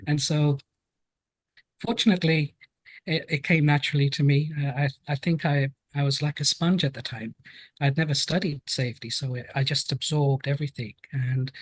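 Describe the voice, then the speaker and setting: A man in his fifties with a British accent, telling his story in a measured, reflective way as an interview guest. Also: medium pitch, dry recording, low-quality recording. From United Kingdom. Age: 50s. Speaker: guest, health and safety professional.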